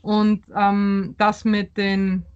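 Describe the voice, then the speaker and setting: Bilingual woman, polish first language, thinking out loud w lots of ums, bit muffled lo-fi sound. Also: mid-range voice, monotone, drawn-out words, clear, resonant tone. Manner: thoughtful, hesitant, many fillers and restarts. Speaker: author. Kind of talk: interview answers.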